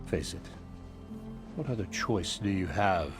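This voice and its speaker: An actor playing a pompous, self-important labour boss in a fantasy video game, bombastic, theatrical and mock-courteous in manner. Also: male voice, deep voice, lively intonation, unhurried, music in the background. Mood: mocking, smug. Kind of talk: video game character acting.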